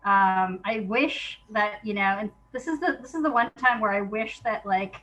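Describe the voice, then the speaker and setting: A woman talking casually and reflectively as an interview guest, in an informal, halting way, thinking aloud as she goes. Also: mid-range voice, a bit bright, rough recording.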